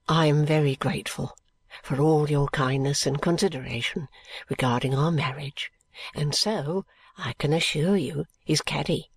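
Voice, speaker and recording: A woman's voice: low-pitched, moderate pace, husky, pitched below the performer's own voice. A woman voicing a devoted, deferential young bridegroom in an audiobook, humble and reverent in manner. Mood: grateful, reverent. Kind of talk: audiobook character acting.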